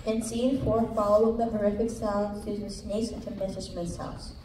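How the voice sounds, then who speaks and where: A woman's voice: medium pitch, flat delivery, normal speed. A female student narrator reading scene narration aloud in a reader's theater performance. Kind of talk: scripted narration read aloud on stage. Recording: echoey room, distant mic, background noise.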